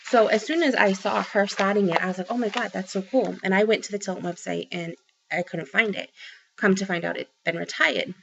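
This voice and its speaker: A woman's voice: medium pitch, fairly quick, vocal fry, background noise. A woman in her forties with a Boston accent, chatting to camera about her craft haul, rambling with lots of ums and restarts. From Boston area, Massachusetts. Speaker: craft vlogger. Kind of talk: casual chat to camera. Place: small room at home, indoors. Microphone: webcam close up. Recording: dull lo-fi webcam sound.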